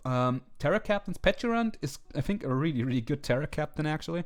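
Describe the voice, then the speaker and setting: A man hosting a video, talking casually with many fillers and restarts, his voice slightly hoarse. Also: fairly high pitch, lively intonation, fast. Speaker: video host ranking teams. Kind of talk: casual commentary. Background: faint background music.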